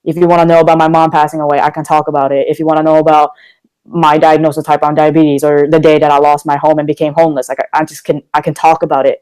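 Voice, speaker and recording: A man's voice: on the high side, clear, resonant tone, poor audio. A young man from Korea, a guest talking candidly and energetically in a casual podcast interview. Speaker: guest, motivational speaker.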